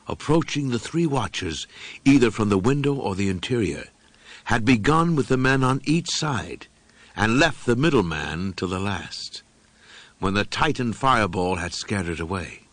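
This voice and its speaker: Low-pitched man reading a horror audiobook. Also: fairly bright tone, no echo. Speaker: audiobook reader. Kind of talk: scripted narration of a horror story.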